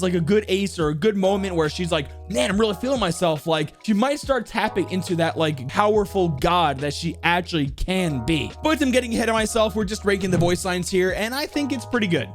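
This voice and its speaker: A high-pitched male host gives casual, enthusiastic commentary on a video game ranking. He rambles along in loose slang, with faint music behind him. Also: animated, fairly quick, hardly any pauses. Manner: rambling, uses lots of slang.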